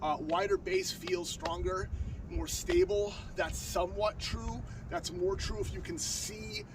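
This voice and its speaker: A man in his thirties with a stuffed-up nose, teaching sword basics in a backyard, talking fast with plenty of fillers and restarts. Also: high voice, steady tone. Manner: talks fast with frequent fillers and restarts, plain and earnest. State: stuffed up from a cold. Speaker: sword-fighting instructor. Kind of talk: casual outdoor tutorial. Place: backyard lawn outdoors. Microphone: far camera on a tripod. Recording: distant mic with outdoor noise.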